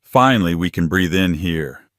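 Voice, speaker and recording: A man's voice: mid-range voice, big pitch swings, a little slow, husky, no room sound, studio quality, voice pushed up. A man voicing a spiteful, scornful older woman, a sneering mother, in audiobook dialogue. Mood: scoffing. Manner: scornful, muttering and scoffing.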